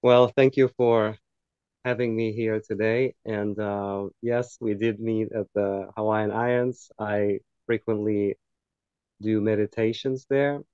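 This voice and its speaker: A man from Ukraine, a guest on a talk show, talking calmly with many fillers. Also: on the low side, takes it slowly, clean tone, dry recording, dull audio. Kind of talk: casual interview chat.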